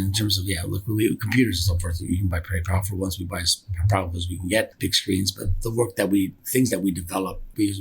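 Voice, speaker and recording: A man's voice: low voice, creaky, fairly bright tone, husky, low-quality recording. A man speaking casually as a company director in an interview, often repeating words and restarting phrases as he explains. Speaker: business founder and company director being interviewed.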